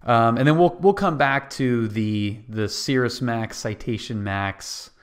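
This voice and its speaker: A man's voice: mid-range voice, a little slow. Casual male podcast host. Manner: friendly, brief affirmations and recap questions. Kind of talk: interviewer, casual chat.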